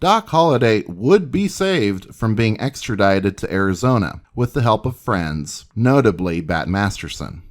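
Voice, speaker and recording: A man hosting a history video, reading scripted documentary narration. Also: lowish voice.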